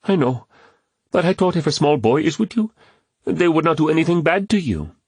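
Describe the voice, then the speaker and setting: A man putting on a small boy's voice, pitched well above his own low tone and bouncing up and down with feeling. The boy is earnest and fearless, polite in a formal way. The sound is dry and close, with no room around it. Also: animated, brisk, breathy edge, dry recording.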